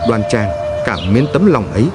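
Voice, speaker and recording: A man's voice: medium pitch, very animated, moderate pace. A man narrating a scripted fairy tale as a storyteller for a cartoon. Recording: music behind the voice. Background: background music.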